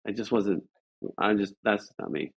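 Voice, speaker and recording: An American man from Chicago telling stories casually as an interview guest, halting and rambling with lots of filler words. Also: lowish voice, creaky, slightly rough. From Chicago, United States. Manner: halting, rambling, frequent "like" and "you know". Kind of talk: casual conversational storytelling. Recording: dull lo-fi audio.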